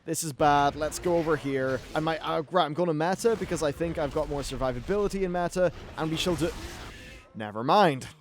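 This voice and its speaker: Higher-pitched man commentating over noisy game ambience. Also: brisk. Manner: chatty, wry, casually sweary. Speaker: gaming video host. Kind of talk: casual live-play game commentary. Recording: clear background noise and game ambience.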